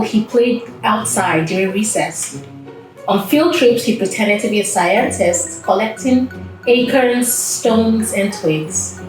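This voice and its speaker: Female storytime host, slow child-directed delivery, recorded far from the mic in a very echoey room. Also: mid-range voice, darkish tone. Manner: simple, child-directed phrasing with pauses. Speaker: children's read-aloud host. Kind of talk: slow storybook read-aloud, asking young listeners questions. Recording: distant mic in echoey room, background music.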